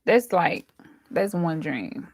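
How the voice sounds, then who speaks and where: A woman's voice: fairly low pitch, big pitch swings, slow pace, gravelly, no echo. A woman chatting casually and informally to her viewers as the host, telling stories in colloquial everyday speech. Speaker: host, dream interpreter.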